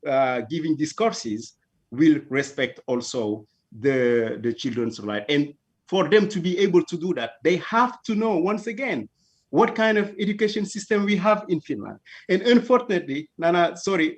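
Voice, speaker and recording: A man, an election candidate, answering in an online panel debate, halting and repeating words, then pressing his point emphatically. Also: a little high, animated. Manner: hesitant, repeats words while searching; grows emphatic and louder.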